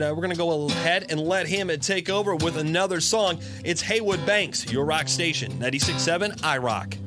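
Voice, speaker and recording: A male radio host speaking in an upbeat, announcer-style way, plugging a comedian's shows from the studio. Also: a little high. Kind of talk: radio chat and promos.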